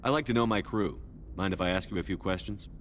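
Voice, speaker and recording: A man acting a steady, approachable military commander in a science fiction video game, direct and composed. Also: sits low, fast, slight background noise, lightly acted. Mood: composed, friendly.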